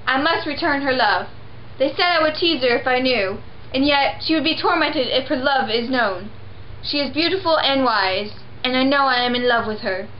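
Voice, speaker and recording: A woman's voice: sits high, expressive, moderate pace, highs cut off, slight background noise. A young woman reading several parts in a home puppet show of a classic play, in a plain, earnest way. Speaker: amateur sock-puppet performer. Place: indoor room behind a sheet backdrop.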